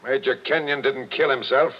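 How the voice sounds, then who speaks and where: An actor playing a shrewd, folksy police-style captain in 1940s radio drama, wry, sceptical and probing. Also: male voice, mid-range voice, steady tone, moderate pace, very noisy, lightly acted. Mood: sceptical, knowing. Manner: plain-spoken, wry, probing. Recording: muffled vintage radio recording.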